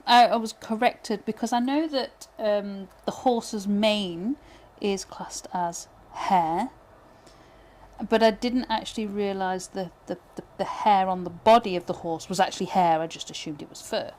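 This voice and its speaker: A woman from Britain, teaching pyrography live, chatting and thinking aloud with many fillers while she works, her voice going a little hoarse. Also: medium pitch, a little slow, darkish tone. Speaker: pyrography instructor. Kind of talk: live tutorial chat while working.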